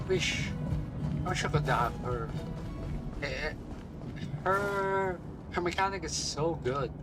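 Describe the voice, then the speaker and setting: A man's voice: fairly high pitch, expressive, slow pace. A man streaming a game, giving casual, slangy muttered reactions to what happens on screen. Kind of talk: gameplay reaction commentary, casual chat. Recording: game music loud behind voice. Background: loud game music.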